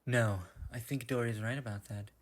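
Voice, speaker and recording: A man's voice: mid-range voice, steady tone, moderate pace, natural voice. A man voicing a stern investigator in an amateur fantasy game dub, speaking in a formal, matter-of-fact way. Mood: stern, accusing.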